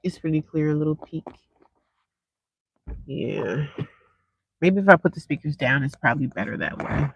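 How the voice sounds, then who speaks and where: A woman's voice: deep voice, lively intonation, moderate pace, vocal fry, long pauses, muffled recording, a little hiss or hum. Congested, chatty woman on a live stream. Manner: rambling, chatty, talks straight to viewers. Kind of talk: casual live-stream chat with viewers. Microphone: cheap earphone mic.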